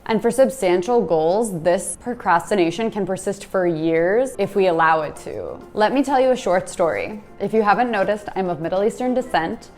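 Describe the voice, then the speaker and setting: A woman's voice: mid-range voice, moderate pace, few pauses, darkish tone, faint music behind. A woman in her twenties from the United States, giving a candid, self-deprecating talk and telling stories from her own life. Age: 20s. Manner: candid, self-deprecating, storytelling with small laughs. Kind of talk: reflective personal monologue.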